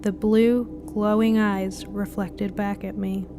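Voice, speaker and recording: A woman reading a scripted spooky short story as narrator, in a dramatic, deliberate way. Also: medium pitch, little pitch movement, noisy background. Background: music bed.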